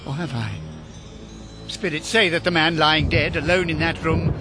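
A man playing an excitable, desperate old miser in a radio drama, with a theatrical, breathless, stammering delivery. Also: on the high side, moderate pace, lightly acted. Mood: fearful, hushed. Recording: music under studio radio drama.

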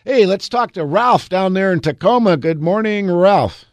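A man's voice: high-pitched, animated, normal speed, no echo. A man in his sixties, an attorney hosting a call-in radio advice show, upbeat and folksy with easy jokes. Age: 60s.

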